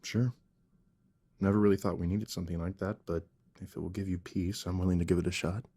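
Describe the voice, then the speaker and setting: A man's voice: low-pitched, steady tone, fairly quick, warm tone, husky. A man playing a patient, protective young father and husband in an audio drama, speaking earnestly and naturally. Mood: calm, accommodating.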